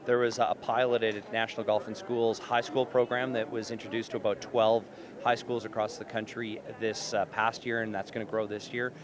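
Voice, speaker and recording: A man's voice: on the low side, level tone, normal speed. A middle-aged man, giving spontaneous interview answers as an association president. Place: large indoor exhibition hall. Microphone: handheld reporter mic. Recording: busy convention crowd behind.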